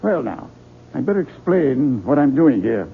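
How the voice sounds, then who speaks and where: Muffled, hissy vintage radio play: a courteous male publisher, fairly high and creaky, animated, a touch hesitant. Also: moderate pace, exaggerated voice. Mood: affable. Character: polite, affable male book publisher paying a visit. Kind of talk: radio drama acting. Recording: muffled, noisy old radio broadcast.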